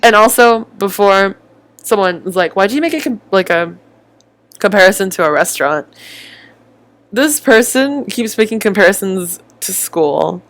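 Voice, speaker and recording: A woman's voice: medium pitch, normal speed. Sarcastic woman giving casual commentary. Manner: sarcastic, animated mimicking. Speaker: video commentator.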